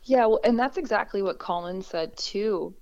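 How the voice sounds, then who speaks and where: Woman, low voice, singer-songwriter guest, reflective, relaxed, lo-fi sound. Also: normal speed. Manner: relaxed, reflective, frequent restarts and fillers. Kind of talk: conversational interview.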